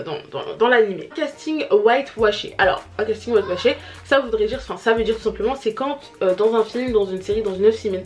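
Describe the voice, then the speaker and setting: A young woman vlogging at home to her camera, chatting casually about a series with lots of fillers and restarts. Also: mid-range voice. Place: home, family noises around. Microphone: camera mic.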